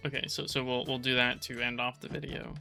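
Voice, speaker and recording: A man giving casual gameplay commentary from his apartment, in a flat, restrained voice that he keeps low so he doesn't wake the neighbors, rambling with frequent restarts. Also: brisk, faint music behind. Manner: monotone, kept quiet. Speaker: gaming channel host.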